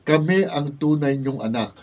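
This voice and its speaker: A sulky, greedy grown son who resents his father, as a man acts him out. His voice is fairly high. It sounds dull and lo-fi, caught from back off the mic. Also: animated, moderate pace, darkish tone, lightly acted. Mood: resentful. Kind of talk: radio drama acting, read from script. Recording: lo-fi, mic from a distance.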